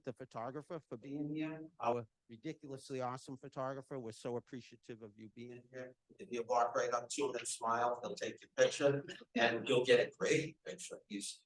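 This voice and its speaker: A man with a deep, carrying voice welcoming an audience as the association's chapter president, talking loudly and chattily without a microphone. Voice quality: deep voice that carries. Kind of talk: welcoming remarks at a live event. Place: event room at a nonprofit center. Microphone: no microphone, recorded from the room. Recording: echoey room.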